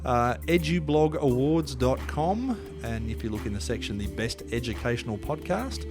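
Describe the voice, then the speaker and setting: A man's voice: medium pitch, animated, normal speed, over music. A man hosting a podcast interview in a loose, rambling way, full of fillers and restarts. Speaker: podcast host.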